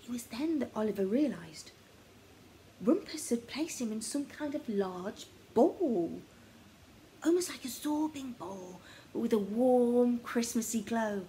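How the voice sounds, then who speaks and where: A young woman reads a children's story in an expressive, theatrical way, often dropping to a hush, recorded in a small room at home. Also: fairly high pitch, unhurried, poor audio. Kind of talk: animated children's story reading. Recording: noticeable background noise, home recording.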